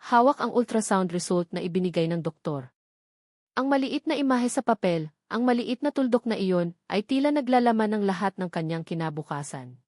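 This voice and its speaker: A woman's voice: medium pitch, darkish tone, no room sound, clean studio sound. A woman reading a scripted romance story as a dramatic narrator.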